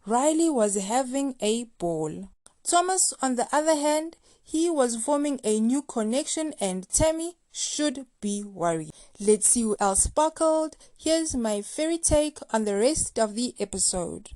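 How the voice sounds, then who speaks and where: A woman's voice: on the high side, lively intonation, a little slow, mellow, no echo. A woman hosting a recap show, reading scripted commentary in a playful, gossipy, slangy way. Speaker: host of a reality TV recap show.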